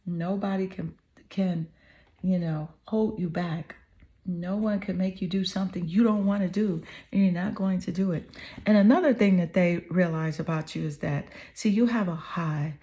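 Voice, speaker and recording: A woman with a slightly hoarse, mellow, warm tone gives a tarot reading straight to the listener. She speaks slowly and earnestly, repeating her affirmations and checking in with the listener often. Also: lowish voice. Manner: earnest, affirming, repeats phrases with "okay?" and "you know". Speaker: tarot reader. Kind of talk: tarot card reading to the viewer.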